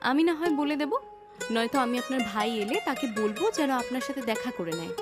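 A woman's voice: fairly high pitch, lively intonation, fast, music in the background, close to an everyday voice. A woman voicing a kind, dutiful sister-in-law in a cartoon moral story, speaking in a polite, attentive way. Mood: polite, hospitable.

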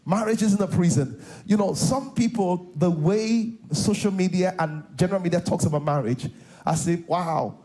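A middle-aged Nigerian pastor preaches from a big church stage, his voice pitched fairly high yet warm and mellow in tone. He hammers home phrases by repeating them and tosses questions out to the crowd for a reply, heard through a close headset mic. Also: poor audio. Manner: repetitive, call-and-response preaching. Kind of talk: sermon.

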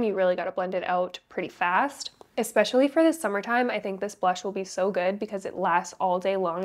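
Chatty young woman, congested from a cold. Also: mid-range voice. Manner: upbeat, chatty and candid. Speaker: beauty creator. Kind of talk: casual makeup tutorial chat. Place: indoor studio, plain backdrop.

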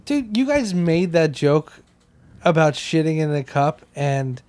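A man's voice: fairly high pitch, big pitch swings, unhurried, a little mellow. An American man co-hosting a casual comedy podcast, joking in rambling, like-filled sentences on a handheld mic. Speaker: podcast co-host. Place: small wood-panelled room, indoors. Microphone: handheld microphone held close.

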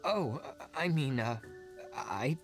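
An actor playing a timid, hesitant civil servant in a video game, speaking in a halting, unsure way. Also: male voice, mid-range voice, lively intonation, over music. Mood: nervous. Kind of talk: video game character acting.

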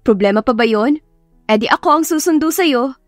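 A woman's voice: high voice, animated, brisk, no room sound, voice pushed up. A woman voicing a good-humoured young professional woman, a romance heroine, with a Filipino accent and a casual, wry manner. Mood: casual, teasing. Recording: music behind the voice.

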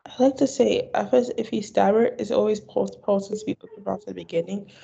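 A woman's voice: mid-range voice, quick pace, vocal fry, mellow, breathy edge. A young woman who stammers, repeating words and restarting, answering questions in a video call interview. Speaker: stammering awareness advocate. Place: indoor room. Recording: dull lo-fi video call audio.

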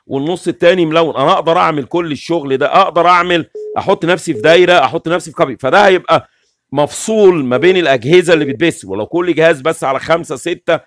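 A middle-aged man with an Egyptian Arabic accent speaks quickly and insistently, as if walking a listener through a plan during a home livestream. His voice sits a touch high for a man, and it comes across dry and close, with no room sound at all. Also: no echo. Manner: animated, gestures constantly, explains insistently. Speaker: livestream host. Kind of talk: casual livestream talk. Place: small room with curtains, indoors.

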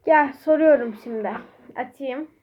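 A woman's voice: sits high, a little slow, phone-quality sound, noisy background. A woman chatting casually as she hosts a homemade quiz game and speaks to viewers. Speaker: vlog host quizzing a child.

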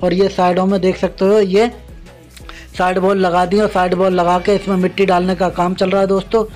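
Male vlogger, high monotone, outdoor site walk, phone camera narration. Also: brisk, faint music behind. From India. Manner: repeatedly points things out to viewers, addressing them as friends. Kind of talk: casual narration of a road construction tour. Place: outdoors at a construction site beside a highway.

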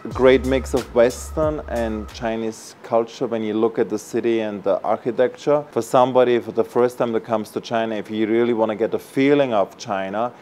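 A man's voice: mid-range voice, fairly even intonation, moderate pace, few pauses, darkish tone. A man in his thirties from Austria, talking casually in an interview as a hotel manager. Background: music.

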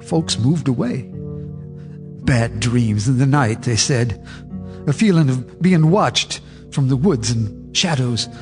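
An actor playing a half-mad old farmer in a radio drama, telling his tale in a husky rural drawl. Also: mid-range voice, expressive, takes it slowly, long reverb tail, soft background music. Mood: grim. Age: older. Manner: confiding, eerie monologue.

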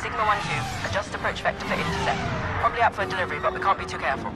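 An actor playing a tense military starfighter pilot giving combat chatter over comms in a video game. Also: male voice, high-pitched, very fast. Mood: procedural, wary. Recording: loud music and battle noise.

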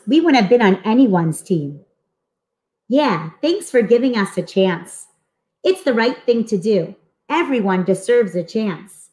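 Woman reading as eager, expressive schoolkids, very lively. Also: on the high side. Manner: expressive, earnest. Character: friendly, eager schoolchildren in a comic book. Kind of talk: animated storybook read-aloud.

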